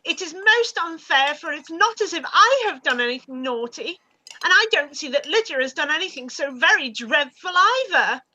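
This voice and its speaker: A woman's voice: high-pitched, expressive, normal speed, sharp tone, full character voice, rough recording. A woman playing a petulant, sulky young sister in a period radio play, whining and indignant. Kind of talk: live-read radio play acting of period drama.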